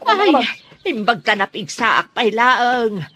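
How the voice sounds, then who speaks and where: A woman's voice: fairly high pitch, very animated, slow, bright, forceful tone, exaggerated voice. A woman playing an earnest member of a forest search party in an Ilocano-accented radio drama. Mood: concerned.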